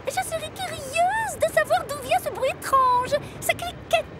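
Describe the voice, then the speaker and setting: A woman's voice: extremely high pitch, a little slow, very noisy. A woman voicing a prim, scheming female railway carriage in a French cartoon dub, with an exaggerated fussy delivery. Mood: mock concern.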